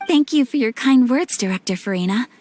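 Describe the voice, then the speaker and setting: A woman playing a gentle, polite young noblewoman in a video game, with a gracious and formal manner. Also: fairly high pitch, expressive, a little fast. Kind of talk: video game character acting.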